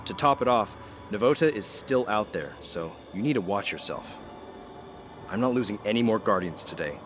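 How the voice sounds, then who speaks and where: A low-pitched, battle-worn squad leader played by a man from a video game. He talks in terse, plain phrases with a gruff, grieving weariness, while music plays underneath. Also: expressive, normal speed, natural voice. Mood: businesslike, urgent. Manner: terse, world-weary, plain-spoken. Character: weary, gruff veteran soldier, a grieving male fire team leader. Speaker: mission-giving game character.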